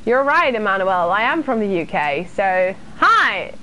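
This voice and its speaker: A woman's voice: medium pitch, big pitch swings, measured pace, sharp tone, a little hiss or hum, poor audio. A young woman from the UK, casually chatting with her viewers while streaming a horror game and reading their comments aloud. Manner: chatty and self-deprecating, reads chat aloud and answers it. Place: small room indoors.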